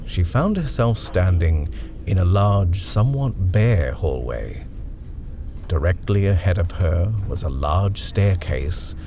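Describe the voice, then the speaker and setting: A man narrating a horror short story in an expressive, suspenseful reading style. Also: sits low, slow pace, slight background noise.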